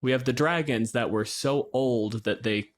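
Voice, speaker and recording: A man's voice: mid-range voice, moderate pace. A man in a casual, analytical discussion of game lore, speaking in a wry, opinionated way as a podcast co-host.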